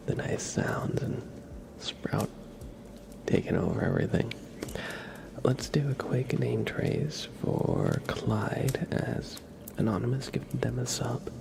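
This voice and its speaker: A man whispering softly and breathily as an ASMR live streamer, chatting casually and gently with viewers. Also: mid-range voice. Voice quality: breathy whispering and soft murmuring, gravelly.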